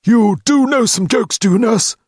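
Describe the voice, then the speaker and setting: Man voicing a pompous hospital boss, high shrill voice, imperious, husky edge. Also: moderate pace, no echo, studio quality, heavily acted. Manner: imperious, shrill when excited. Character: pompous excitable male hospital director.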